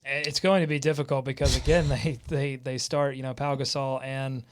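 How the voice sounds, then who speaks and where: A man hosting a sports talk show in an excitable, jokey way. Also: mid-range voice, moderate pace, a little hiss or hum. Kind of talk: lively sports talk with co-hosts.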